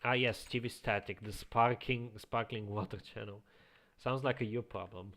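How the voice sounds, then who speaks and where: A man with a slight Eastern European accent, chatting casually as a streamer, reading chat aloud and chuckling often into a quiet home microphone. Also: lowish voice, steady tone, moderate pace. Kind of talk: casual live-stream chat while playing.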